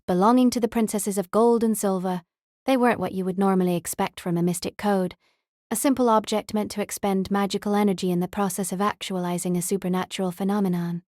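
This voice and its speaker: A woman's voice: mid-range voice, a little mellow, no echo. Dry, close-miked woman narrator. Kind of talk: scripted novel narration.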